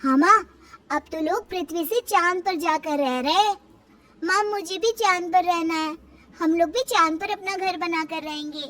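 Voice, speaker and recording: A woman's voice: extremely high pitch, normal speed, fairly bright tone, voice pushed up. A woman voicing a small, innocent orphan girl who speaks earnestly in a moral-story cartoon. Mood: eager.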